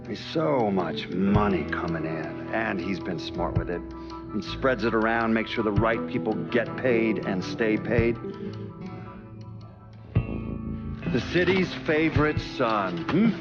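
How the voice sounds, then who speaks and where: An actor playing a weary, haunted American man who gives brooding, deliberate testimony in a video game cutscene. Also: fairly low pitch, lively intonation, dull audio, over loud music. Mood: brooding, wry.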